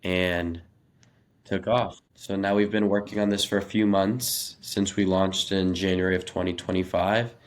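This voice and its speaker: A man's voice: sits low, steady tone, normal speed. A 21-year-old American man, a native Russian speaker, giving earnest, articulate answers as a podcast guest in a conversational interview. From Los Angeles, United States. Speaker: podcast guest, young startup founder.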